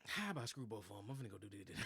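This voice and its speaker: A man's voice: mid-range voice, bright, forceful tone, slightly rough, no echo. A man in his late twenties co-hosting a podcast, chatting casually and animatedly, often laughing and acting out his own thoughts. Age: late 20s. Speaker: podcast co-host. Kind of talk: casual chat.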